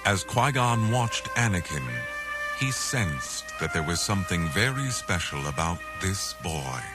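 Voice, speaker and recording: A man reading a scripted children's adventure story as narrator, in a dramatic, measured way. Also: deep voice, sing-song, loud music behind the voice.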